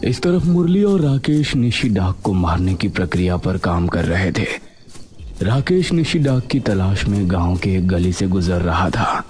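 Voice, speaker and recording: A man narrating a scripted animated story in a dramatic storytelling manner. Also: deep voice, unhurried, a little hiss or hum.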